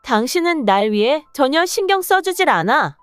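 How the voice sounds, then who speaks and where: A woman's voice: high voice, sing-song, normal speed, dry recording, soft background music. A woman voicing an irritable, demanding young wife in a scripted radio story reading. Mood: irritated. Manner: accusing, complaining. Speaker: voice actor reading the wife's lines.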